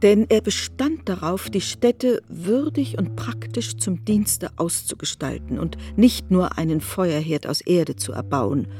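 A woman's voice: on the low side, animated, a little slow, few pauses, dark tone. A woman narrating a literary work for radio in a composed, formal manner. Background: faint music.

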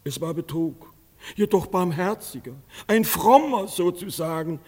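A male actor playing a scheming, sanctimonious old father in a theatrical German radio play, husky and wheedling in a reverberant hall. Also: sits high, expressive, measured pace, darkish tone. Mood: pious wheedling. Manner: wheedling, piously declamatory. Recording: long reverberant hall sound.

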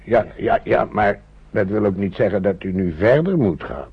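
An actor playing a cautious, kindly older countryman in a radio play, gravelly and husky, hesitant and repeating himself. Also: on the low side, animated, moderate pace, exaggerated voice. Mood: hospitable. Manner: hesitant, repeating himself, muttering short phrases. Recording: muffled old radio drama recording.

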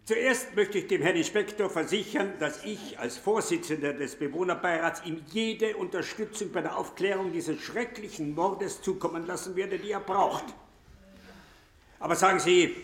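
A man's voice: high-pitched, fairly bright tone. An actor playing an officious, self-important male chairman of a residents' council in a radio drama, speaking formally. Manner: pompous, formal. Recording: echoey room.

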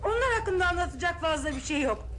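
Geveze, sevecen teyze. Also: female voice, very high-pitched, fast talker, noisy background. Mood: reminiscing. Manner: lively, reminiscing, talkative. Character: chatty middle-aged aunt, gossipy, fond. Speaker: aunt in radio play. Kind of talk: 1970s Turkish radio drama acting. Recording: old radio broadcast with music.

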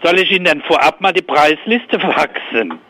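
A man's voice: on the high side, lively intonation, moderate pace, bright, forceful tone. A man talking matter-of-factly on the phone, like a tradesman explaining a job to a customer. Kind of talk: phone conversation.

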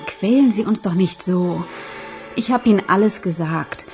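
A woman's voice: medium pitch, moderate pace, music in the background, lightly acted. An actress playing a worn-down woman pleading under questioning in a radio crime drama. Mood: pleading, distressed.

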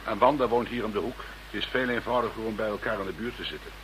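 Lead investigator, played by a man: Dutch, down-to-business, relaxed manner, radio drama, slight background hiss. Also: fairly low pitch, fast, close to an everyday voice, rough recording. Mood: teasing. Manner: informal, businesslike. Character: easygoing but businesslike Dutch investigator leading the case. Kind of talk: radio play acting.

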